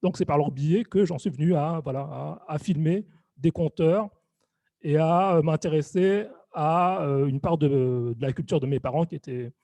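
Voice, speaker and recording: A young man giving a halting conference talk, full of fillers and restarts. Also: on the high side, vocal fry, dark tone, dry recording. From Réunion. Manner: hesitant, many fillers and restarts. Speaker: video artist giving a lecture.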